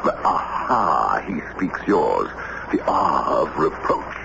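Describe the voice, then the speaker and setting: A very low-voiced, middle-aged gentleman diplomat in a vintage radio play. He is pompous and argumentative, swinging his pitch with stubborn exasperation. The old recording is dull and clearly noisy. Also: very animated, takes it slowly, full character voice. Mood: sarcastic. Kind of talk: old-time radio drama acting.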